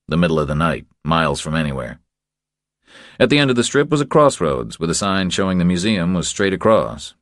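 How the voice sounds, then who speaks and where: A man's voice: low-pitched, no echo. A man reading scripted crime fiction as an audiobook narrator, close on the microphone.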